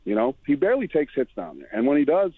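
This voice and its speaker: A man's voice: medium pitch, huge pitch swings, fast, husky, no echo. A man in his forties from New Zealand, giving blunt, rambling opinions as a commentator on a radio sports show. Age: 40s. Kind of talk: casual radio sports chat. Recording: dry tinny phone line.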